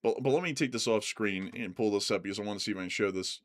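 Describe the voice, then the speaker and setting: A man's voice: fairly low pitch, fast talker. A man chatting casually as a host, rambling with lots of fillers and laughing easily while he pulls things up on screen. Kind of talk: casual chat. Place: screen-sharing while talking.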